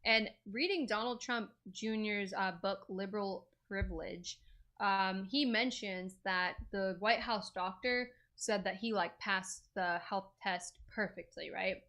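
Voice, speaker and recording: A woman's voice: medium pitch, measured pace, fairly bright tone. A woman hosting a crypto video, chatting casually with lots of fillers and strong opinions. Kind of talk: casual commentary.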